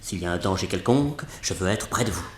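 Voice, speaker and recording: A jittery museum director, roomy sound. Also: male voice, deep voice, lively intonation, fast talker. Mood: worried. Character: nervous excitable male museum director. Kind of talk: radio drama acting.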